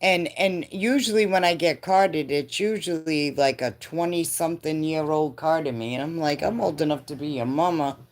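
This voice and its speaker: A woman's voice: low voice, moderate pace, few pauses. A woman in her fifties chatting casually with live viewers, laughing often and answering comments. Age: 50s. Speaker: livestream host.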